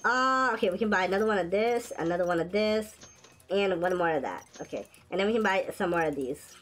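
A woman hosting a gaming video with casual, excitable commentary, her voice raspy from a sore throat. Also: mid-range voice, fairly quick. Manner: excitable, shrieks and laughs at wins and deaths. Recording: faint game music behind.

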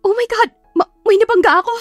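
Woman acting excitable, earnest young woman, high-pitched. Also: fast, no room sound, studio quality, faint music behind. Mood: panicked. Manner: exclamatory, earnest. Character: young woman driver, kind-hearted, excitable, apologetic.

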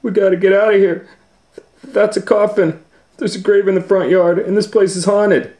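A man acts out a cowardly young cartoon sidekick for a storybook. The voice is very high and loud, squealing with panic and tripping over repeated words. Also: level tone, normal speed, reverberant, natural voice. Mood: frantic, terrified. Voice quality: shrill, squealing. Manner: panicky, stammering, repeating himself.

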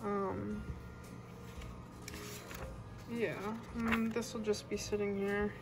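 A young woman talks casually to her vlog camera in a bedroom at home. Her voice sits at an ordinary pitch for a woman, with music clearly playing behind her. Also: little pitch movement, very slow. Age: young adult. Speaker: vlogger.

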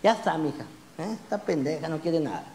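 A man, doing an animated stand-up routine full of crude slang on a small club stage, holding a handheld mic. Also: on the high side, a little fast, in a large hall. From Mexico. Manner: animated, gesturing broadly, crude slang, rising to shouts on punchlines. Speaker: stand-up comedian.

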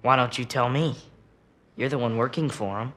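A man acts out a teenage American street boy in a cinematic video game. He sounds guarded and cocky, with a medium-pitched voice. His delivery is blunt, bookish and earnest. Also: fairly even intonation, moderate pace, sharp tone, faint music behind, close to an everyday voice. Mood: earnest, challenging. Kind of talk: naturalistic video game acting.

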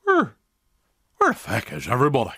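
An actor playing a feisty, world-weary old male film director in a radio drama, excitable and sweary. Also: mid-range voice, brisk, full character voice. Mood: weary, startled.

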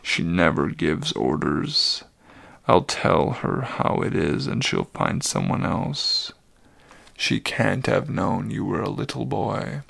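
A man voicing a very old, weary male centaur with a deep, gravelly voice, pleading slowly and heavily in a dramatic storybook reading. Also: expressive, a bit bright, no echo, full character voice. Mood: urgent, weary. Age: older.

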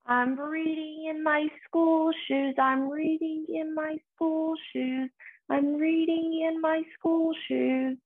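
A young woman reads a children's story aloud. Her voice sits high and she takes her time, with a sing-song lilt made for little listeners. The sound is thin and narrow, much like a phone. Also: fairly even intonation, takes it slowly. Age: young adult. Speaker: story reader. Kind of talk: children's picture book read-aloud.

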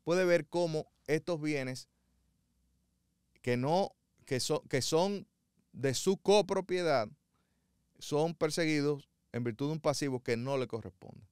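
Measured man explaining law, crisp dry voice. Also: a little high, darkish tone, no room sound. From Dominican Republic. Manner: measured, didactic, with frequent fillers and restarts. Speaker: legal commentator. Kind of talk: explanatory legal commentary.

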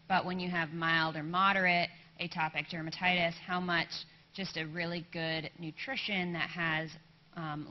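Audience woman, low voice, halting with fillers, muffled hall with faint hiss. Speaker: audience member. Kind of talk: audience comment.

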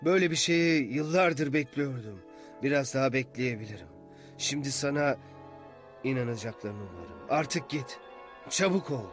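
A man plays a dignified captive king in a dubbed cartoon. He sounds weary and measured at a middling pitch, with soft music behind him. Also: close to an everyday voice. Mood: grave, patient. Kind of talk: dubbed cartoon drama acting.

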